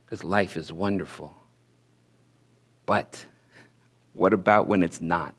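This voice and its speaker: A man in his thirties with a lowish voice delivers rhymed spoken word poetry from a church stage. His cadence is musical and sing-song, rising with force and then sinking almost to a whisper. He is picked up close by a headset mic. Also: darkish tone. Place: indoor church stage with curtains.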